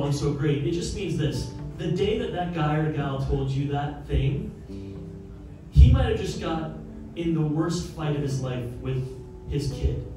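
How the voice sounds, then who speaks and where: A man's voice: fairly high pitch, distant mic. Fired-up man on a panel, echoey room. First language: English. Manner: fired-up, emphatic, talks with his hands. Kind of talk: energetic panel talk. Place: large office event space, indoors. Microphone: handheld mic. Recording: roomy, from afar, with music.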